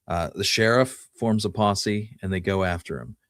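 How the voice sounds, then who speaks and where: A man hosting a podcast, telling Western history in a conversational, somewhat halting way. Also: fairly low pitch, fairly bright tone, no room sound, clean studio sound. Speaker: podcast host.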